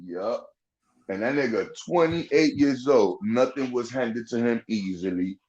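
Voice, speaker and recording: A man's voice: medium pitch, animated, a little slow, darkish tone, breathy edge, muffled recording. A man in his forties, from the Dominican Republic, talking bluntly and profanely in casual chat, often tagging on "you know what I'm saying". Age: 40s. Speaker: podcast host.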